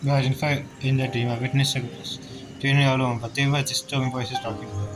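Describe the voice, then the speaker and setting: A man giving halting, think-aloud gameplay commentary as a streamer, with music playing behind him. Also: medium pitch, level tone, rough recording. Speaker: gaming streamer. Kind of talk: game commentary, reacting and narrating.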